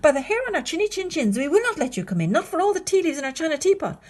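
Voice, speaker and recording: A woman voicing three small young wolves in a children's storybook, plucky and polite, in a high singsong. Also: very animated, very fast, darkish tone. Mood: defiant. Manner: high-pitched, singsong chanting. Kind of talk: children's storybook read-aloud.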